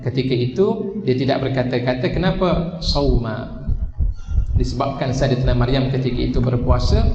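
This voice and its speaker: A man with a Malaysian Malay accent giving a lively religious lecture, asking the audience questions and answering them himself. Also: a little high. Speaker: preacher. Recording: echoey hall, dull lo-fi sound with noise.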